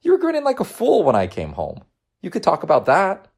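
Male performer as loving mother, coaxing, reassuring, raised pitch, highly animated, storybook character. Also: brisk, gravelly, breathy edge, full character voice. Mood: encouraging, playful. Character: gentle, teasing, encouraging working mother.

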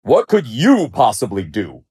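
Casting: man to play big arrogant storybook lion, scornful, booming, growling, very animated delivery. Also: a little slow, husky, full character voice. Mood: angry disbelief. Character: proud, scornful, bullying big male lion. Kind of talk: storybook character voice.

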